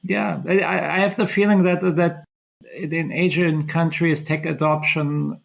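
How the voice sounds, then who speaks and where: A man hosts a business podcast, putting questions to his guest in a conversational, slightly halting way. His voice is gravelly and sits a little high. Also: steady tone. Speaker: podcast host. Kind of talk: interview questions.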